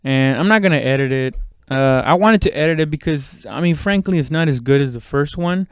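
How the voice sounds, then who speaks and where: A man talking in a casual, rambling podcast monologue, halting and conversational, with frequent swearing. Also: a little high, clear, resonant tone, no room sound, studio quality. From immigrant, moved abroad at seven. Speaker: stand-up comedian podcast host.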